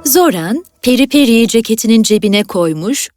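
Female narrator, higher-pitched voice, scripted fairy tale storytelling, clear background music. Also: expressive, normal speed, darkish tone.

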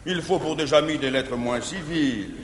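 A man's voice: a little high, normal speed, lightly acted. An actor playing a scheming middle-aged bourgeois man in a 1950s verse stage comedy, jovial and mocking, laughing often. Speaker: Arnolphe. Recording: old theater recording, echoey hall, faint hiss.

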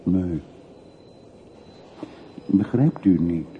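A dull, faintly noisy old radio play recording carries a middle-aged man who once emigrated. His voice is on the low side. He is reticent and reflective, weighing his words in a measured way. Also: lively intonation, exaggerated voice. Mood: reserved. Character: wealthy-born middle-aged emigrant returning home, reserved, reflective. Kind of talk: radio drama acting.

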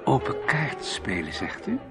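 A suave, cagey radio play villain. He speaks with polite hesitation and feigned innocence, his pitch swinging in a lively way, over clearly audible music and chatter. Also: unhurried. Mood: feigned politeness. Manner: courteous, hesitant, feigning ignorance. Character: suave cagey male villain posing as a Canadian. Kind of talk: radio drama acting. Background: music and crowd chatter.

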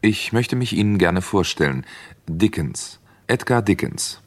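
An affable novelist and amateur sleuth from an old radio play. His voice is low and swings expressively, with a courteous, bemused, chatty manner. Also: lively intonation, measured pace. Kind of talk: 1960s German radio play acting.

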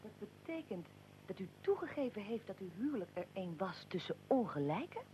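A medium-pitched female voice from an actress plays an inquisitive interviewer in a radio drama. She stays composed, courteous and formal while pressing her questions. Faint noise sits under the recording. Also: big pitch swings, a little fast, mellow, close to an everyday voice. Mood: probing.

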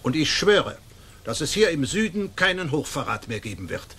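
Stern male aristocrat for radio drama: declamatory, bright, slightly raised voice. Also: fairly high pitch, big pitch swings, normal speed, breathy edge, heavily acted. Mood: resolute. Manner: emphatic, declamatory. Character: stern, honourable nobleman, an influential father. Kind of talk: German radio drama acting.

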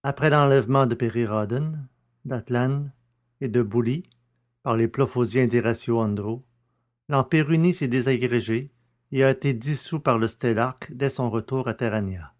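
A man's voice: mid-range voice, normal speed. A man hosting a podcast and reading scripted audiobook narration in French.

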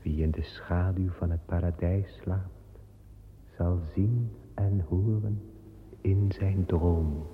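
A man voicing a calm, solemn male angel guarding a paradise garden, speaking slowly and with measure in an old radio play. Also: low voice, slight background noise. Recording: dull old radio play recording with music.

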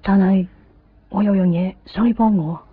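A woman's voice: mid-range voice, steady tone, moderate pace, slightly rough, lightly acted. An actress with a Hong Kong accent playing a wistful, devoted young woman searching for someone in a radio drama. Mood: plain, hesitant. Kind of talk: radio drama first-person narration and dialogue. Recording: dull 1990s radio recording, faint music.

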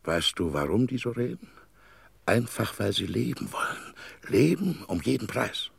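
An actor playing a cynical, powerful industrialist in a radio drama, imperious, with a gravelly, raspy voice. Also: male voice, mid-range voice, very animated, moderate pace, no echo, heavily acted.

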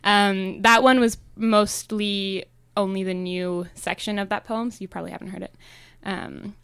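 A young woman slam poet chatting in an interview, talking with frequent ums and quick asides. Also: mid-range voice, steady tone, a bit bright, smooth, dry recording. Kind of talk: casual interview chat.

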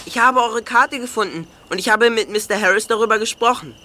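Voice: medium pitch, brisk, pushed and bright, close to an everyday voice. An actor playing a suspicious, challenging young man in an audio drama. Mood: guarded. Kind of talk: hobby audio drama acting.

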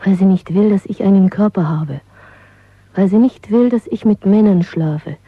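Actress doing a frank young rebel woman in a radio play, unabashed, husky, tinny old sound. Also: medium pitch, normal speed, no room sound, natural voice. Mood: passionate. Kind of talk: German radio drama acting. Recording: thin, phone-like old radio sound, dry.

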